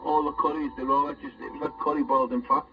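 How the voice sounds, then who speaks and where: A man's voice: fairly high pitch, level tone, very fast, recorded from a distance. A man in casual chatter, heard through a CB radio transmission. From Teesside, north-east England. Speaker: CB radio operator. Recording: muffled, very noisy CB radio reception.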